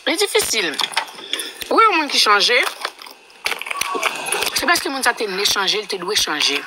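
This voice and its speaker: A woman's voice: mid-range voice, wildly swooping pitch, normal speed. A woman in her thirties with a Haitian accent chats casually on a livestream, thanking viewers by name. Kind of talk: casual live chat with viewers. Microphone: phone at arm's length. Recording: noisy phone livestream.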